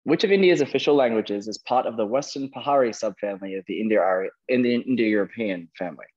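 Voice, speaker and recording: A man's voice: fairly low pitch, quick pace, a little mellow, muffled recording. A man hosting a quiz, reading out questions and giving verdicts on answers. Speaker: quizmaster.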